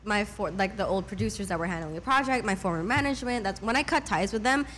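A young woman in her twenties, a singer talking casually as an interview guest, relaxed and chatty with many fillers. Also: mid-range voice, quick pace, a little hiss or hum. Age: 20s. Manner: relaxed, chatty, lots of "like" and "mm-hmm".

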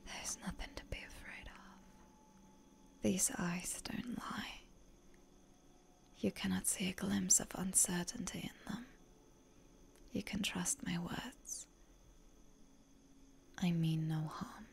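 Woman doing slow whispery vampire noblewoman for asmr roleplay, low and creaky, soothing and seductive. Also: full character voice. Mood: reassuring, seductive. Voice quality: breathy whisper. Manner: slow, genteel, coaxing.

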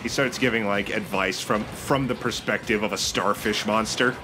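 A man co-hosting a let's play with lively, joking casual commentary. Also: lowish voice, normal speed, noisy background. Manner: animated, joking.

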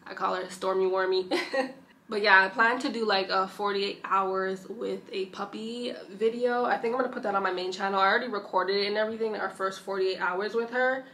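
A woman's voice: mid-range voice, fairly even intonation, fairly quick, a bit bright. A young woman vlogger talking casually to the camera in her bedroom, chatty and gushing, with lots of fillers. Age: young adult. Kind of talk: casual vlog talk to camera. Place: bedroom indoors. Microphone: camera mic, sitting or handheld close.

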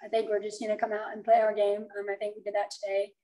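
A young woman college softball pitcher answering press questions, halting with many ums. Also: completely flat delivery, far from the mic. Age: young adult. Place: press room, seated at a table.

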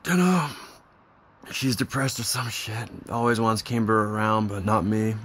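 A man's voice: fairly low pitch, steady tone, measured pace, fairly bright tone, a little hiss or hum. An actor playing a jealous, hot-headed teenage boy in a naturalistic audio drama. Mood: grumbling, casual. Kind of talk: naturalistic audio fiction acting.

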